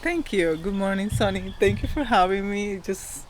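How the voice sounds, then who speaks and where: A woman's voice: medium pitch, expressive, moderate pace. A woman with a Costa Rican Spanish accent speaks as an interview guest. She explains her own experience candidly in a conversational way, with slight noise in the background. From Costa Rica. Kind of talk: personal storytelling in casual interview.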